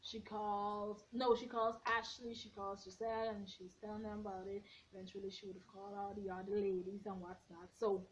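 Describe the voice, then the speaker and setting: A young woman in her twenties, slightly hoarse, giving a blunt, sassy recap of a reality show from her bedroom, filmed on a front camera at arm's length. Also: mid-range voice, a little fast, hardly any pauses, slight background noise. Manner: sassy, blunt, animated hand gestures. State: a bit hoarse. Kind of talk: casual opinionated recap. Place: bedroom at home, indoors. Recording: dull lo-fi webcam audio.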